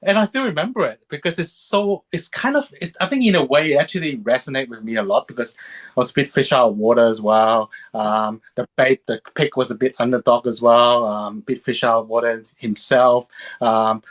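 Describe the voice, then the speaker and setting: Man in his thirties, film critic guest, mid-pitched voice, easygoing and rambling, full of fillers. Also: a little fast. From Hong Kong, raised in Sydney. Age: 30s. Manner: relaxed, rambling, many fillers and restarts. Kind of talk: casual interview chat.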